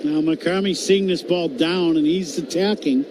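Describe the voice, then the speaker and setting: A man's voice: on the high side, moderate pace. An American man giving conversational color commentary on a live radio baseball broadcast from an outdoor ballpark. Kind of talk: conversational game analysis. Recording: outdoor ballpark broadcast with clear ambient noise.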